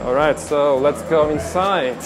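Young male travel vlogger, high voice, arm's-length camera, music bed behind. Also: measured pace. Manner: upbeat, speaks straight to the camera. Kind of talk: casual travel vlog. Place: busy city street at night and a restaurant. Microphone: handheld camera at arm's length.

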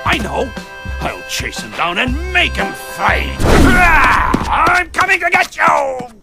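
A man's voice: very high-pitched, sing-song, slow pace, vocal fry, heavily acted. A man voicing an eager, hot-headed cartoon animal, pushy and dramatic, in a dubbed cartoon. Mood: determined. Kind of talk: cartoon dub acting. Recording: loud music behind the voice.

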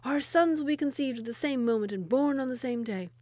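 Woman playing a touchy, high-voiced indignant royal minister. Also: very animated, moderate pace, no echo. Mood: eager. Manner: indignant, ranting. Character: proud, touchy male royal wazir, easily enraged.

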